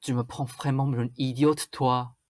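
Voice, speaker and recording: A woman's voice: extremely low pitch, level tone, normal speed, a little mellow. A woman playing a bossy, vain, suspicious stepmother in a radio drama, with a scolding, insistent manner. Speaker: student actor.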